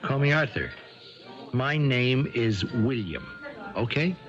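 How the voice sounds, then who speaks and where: A man's voice: medium pitch, sing-song, takes it slowly. An actor in a 1970s radio drama playing an evasive, testy man with something to hide, stammering defensively. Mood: irritated, evasive. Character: evasive, testy man hiding his identity. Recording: dull 1970s radio broadcast, faint music.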